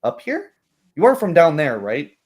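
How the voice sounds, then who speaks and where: A man's voice: fairly high pitch, wildly swooping pitch, normal speed, a little mellow, voice pushed up. A man voicing a kindly, gossipy female barkeep in a fantasy tabletop game, chatty and hesitant. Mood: concerned, curious.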